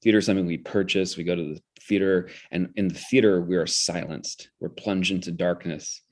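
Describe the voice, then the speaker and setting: Deep voice guy being interviewed, brainy and reflective, restarts his sentences while explaining stuff. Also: fairly even intonation, a little fast. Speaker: interview guest, theater director.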